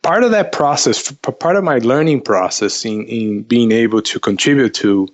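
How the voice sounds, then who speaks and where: A man answering conversationally as an interview guest, haltingly, repeating words as he goes, on slightly lo-fi audio. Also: mid-range voice. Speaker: investment counselor, podcast guest.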